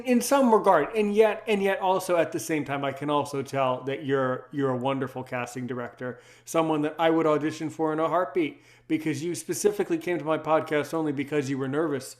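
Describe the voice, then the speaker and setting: A man with a slightly high voice hosting a podcast. He speaks casually and emphatically, circling back over words and phrases as he goes. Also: steady tone, fairly quick, few pauses. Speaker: podcast host. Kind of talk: casual interview chat.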